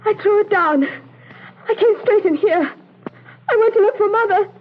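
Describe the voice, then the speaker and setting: Distraught daughter, tinny old radio. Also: female voice, very high-pitched. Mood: anguished. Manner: pleading, halting, repeats herself. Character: distraught, frightened daughter confessing to her stern father. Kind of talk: 1940s radio drama acting. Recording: noisy, tinny 1940s radio broadcast.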